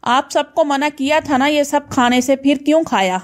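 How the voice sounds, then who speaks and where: A woman's voice: high-pitched, fairly even intonation, moderate pace, lightly acted. A woman voicing a firm young daughter-in-law in a cartoon, who scolds her family. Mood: scolding. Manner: scolding, bossy. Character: firm young daughter-in-law, strict about healthy food. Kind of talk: cartoon voice acting.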